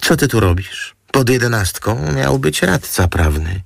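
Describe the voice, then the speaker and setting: A man's voice: medium pitch, very animated, unhurried, gravelly, no room sound, clean studio sound, voice pushed up, exaggerated voice. A man voicing a gushing, theatrical young female model with a cooing, coquettish manner in an audiobook. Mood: breezy.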